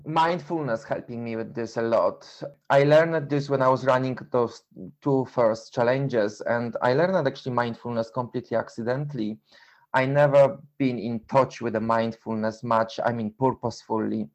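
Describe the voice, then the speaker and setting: A middle-aged man from Poland with a Polish accent, speaking reflectively and haltingly in an interview, repeating words as he goes. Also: medium pitch, fairly even intonation. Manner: halting, repeats words, non-native phrasing. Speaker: interview guest, endurance runner and coach.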